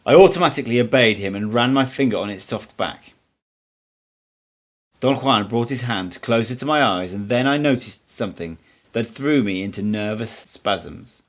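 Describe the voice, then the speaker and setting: A man's voice: medium pitch, animated, normal speed. A man reading a book aloud as scripted narration, as an amateur audiobook reader.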